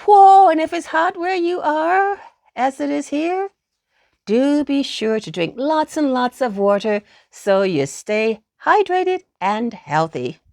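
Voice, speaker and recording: Female storyteller for children's tales: high voice, very animated, unhurried, close dry sound. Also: no room sound. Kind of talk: expressive children's story narration.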